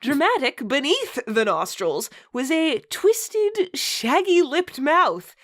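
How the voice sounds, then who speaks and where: A woman's voice: high-pitched, very animated, slow pace. A woman reading a story aloud in a dramatic style, giggly and adding amused asides. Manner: giggly, often breaking into laughter while reading. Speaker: reader of a bad fantasy story.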